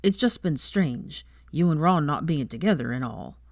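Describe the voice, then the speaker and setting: A woman voicing a big, kind, awkward gamekeeper man with a gruff voice and halting, rustic, muttering speech, as in an audiobook. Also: low-pitched, lively intonation, no echo, clean studio sound, exaggerated voice. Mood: sad, apologetic.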